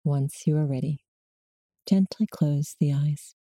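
A woman guiding a body scan meditation in slow, measured short prompts with long pauses between them. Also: low-pitched, warm tone, dry recording, clean studio sound. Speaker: meditation guide.

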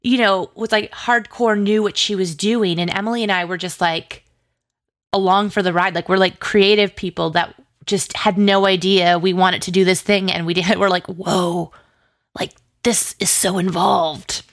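A woman with a middling pitch and a noticeable vocal fry talks casually as an interview guest. She is enthusiastic and chatty, rambling through her thoughts with plenty of filler words. The sound is close and dry. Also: fairly even intonation, normal speed, a bit bright, no room sound. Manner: chatty, rambling, heavy use of "like" and "you know"; effusive, enthusiastic. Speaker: interview guest, audio drama writer and producer.

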